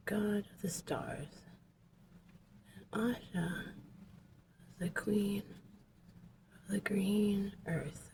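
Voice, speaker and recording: A woman speaking in a hushed, often near-whispered voice, casually commenting and telling stories on a noisy handheld vlog recording. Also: medium pitch. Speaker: vlogger filming and telling a story.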